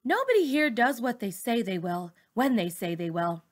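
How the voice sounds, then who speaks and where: A woman's voice: on the high side, big pitch swings, normal speed. A woman reading a children's novel, voicing a proud, strict perfectionist teacher, a woman with a firm, earnest, defensive manner. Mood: frustrated. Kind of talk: children's novel read-aloud dialogue.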